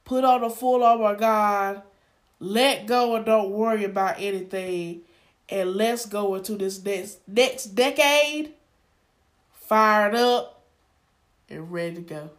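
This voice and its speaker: A woman's voice: medium pitch, takes it slowly. A woman co-hosting a faith podcast, exhorting listeners and repeating phrases for emphasis in a preaching style. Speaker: podcast co-host.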